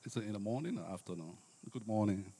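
A middle-aged man with a Liberian accent, preaching and giving testimony to a congregation in deliberate, devout, formal phrasing. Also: fairly low pitch, animated, mellow. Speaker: guest preacher. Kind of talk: personal testimony and sermon to a congregation.

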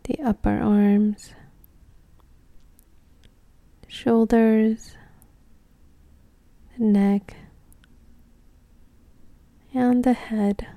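Woman, meditation guide, very soft dark mellow tone, slow pace, gentle and soothing, long pauses, dry close sound. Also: on the high side, level tone, dry recording.

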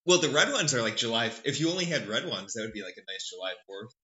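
A man's voice: medium pitch, lively intonation, fast, bright, forceful tone. A man co-hosting a podcast in casual chat, with dry, teasing remarks. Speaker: podcast co-host. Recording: echoey room, dull lo-fi sound.

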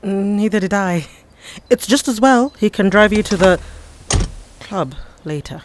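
An actress with a Kenyan accent playing a composed, quietly firm wife and mother in an audio drama. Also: medium pitch, animated, unhurried, a little hiss or hum, close to an everyday voice. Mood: pleasant. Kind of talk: acted audio fiction.

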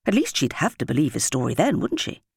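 A woman's voice: extremely low pitch, wildly swooping pitch, fast talker, vocal fry, darkish tone, breathy edge, dry recording, studio quality. A woman with a British accent reading a scripted story expressively as an audiobook narrator.

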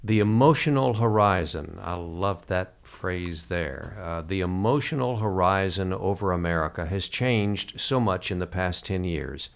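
A man hosting a reflective interview, speaking in a halting, measured way. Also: low voice, vocal fry, smooth. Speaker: podcast host.

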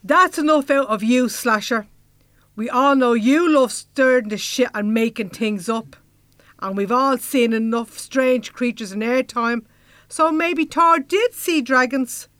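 An actress playing a brisk, down-to-earth Irish woman who leads a misfit gang in a comedy audio drama. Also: fairly high pitch, fairly bright tone, no echo. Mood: chatty, bossy. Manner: bossy, down-to-earth, chatty.

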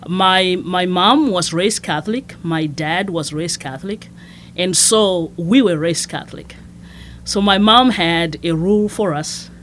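Soft-spoken woman from Zimbabwe, a nun. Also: lowish voice, a little slow, slight background noise. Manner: soft-spoken, hesitant with many fillers and restarts. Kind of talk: conversational interview.

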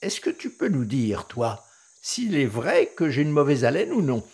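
A man voicing a proud, quick-tempered male lion king who blusters. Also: mid-range voice, very animated, a little mellow, husky, pitched above the performer's own voice. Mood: blustering.

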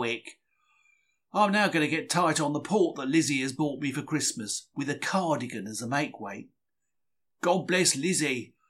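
A man's voice: on the high side, fairly bright tone. A man reading a diary as a chatty, self-deprecating 1940s market bookseller, wry and plain-spoken. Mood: grumbling, amused, then wry, indignant. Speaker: reader.

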